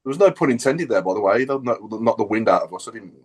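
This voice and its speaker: A man's voice: on the low side, lively intonation, fast talker, husky. A man chatting casually as a football podcast panellist, bantering and swearing lightly. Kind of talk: casual group chat.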